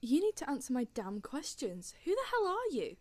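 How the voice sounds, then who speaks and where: The fed-up young witness in a fiction podcast played by a woman, highish voice, telling her side across a desk with sarcasm and exasperated slang. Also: wildly swooping pitch, normal speed, darkish tone. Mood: angry disbelief. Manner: exasperated, colloquial, outspoken. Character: indignant, sarcastic young woman giving a witness statement, growing flustered. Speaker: voice actor in a fan audio drama. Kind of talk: audio drama acting, conversational monologue.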